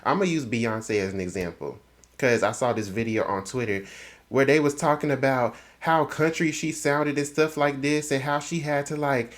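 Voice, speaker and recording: Southern man, host of a book chat, relaxed and conversational. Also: medium pitch, moderate pace. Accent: Southern American. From the American South. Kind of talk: casual book discussion.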